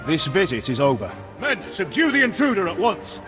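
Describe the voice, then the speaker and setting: A man's voice: high-pitched, huge pitch swings, normal speed. An actor playing a haughty, hot-tempered male Imperial officer in a video game, pompous and imperious. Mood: alarmed, commanding. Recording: reverberant hall with loud game music.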